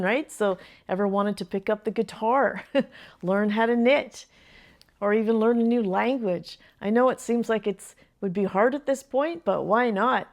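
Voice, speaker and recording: An older woman talks straight to camera in a chatty, earnest way. She is a retired grandmother sharing advice, and soft laughs slip in between her thoughts. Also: mid-range voice. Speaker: vlogger. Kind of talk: casual advice vlog.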